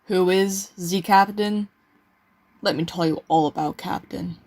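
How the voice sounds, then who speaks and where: A man playing a weary, sarcastic survivor who narrates life after the apocalypse in a deliberate, sighing, dramatic way. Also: sits high, steady tone, darkish tone. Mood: grave, dramatic. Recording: music behind the voice. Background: music.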